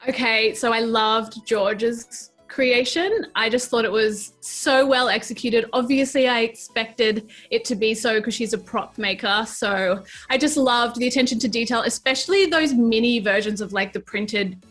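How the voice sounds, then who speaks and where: A woman's voice: fairly high pitch, fairly bright tone, soft background music. A woman in her thirties from New Zealand, an enthusiastic guest on a casual craft chat. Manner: enthusiastic, gushing, fast bursts. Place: colourful craft studio set.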